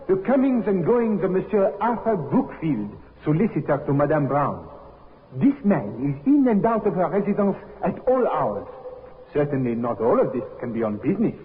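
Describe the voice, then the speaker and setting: An actor playing a vain, half-crazy French inventor with a French accent and a florid, declamatory manner in a 1950s radio drama. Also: male voice, on the high side. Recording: echoing, tinny 1950s radio recording.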